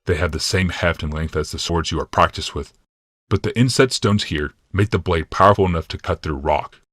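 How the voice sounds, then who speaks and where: A man voicing an imperious, calculating male military ruler in audiobook dialogue, measured, commanding and condescending. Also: sits low, fairly bright tone, husky, no room sound, clean studio sound.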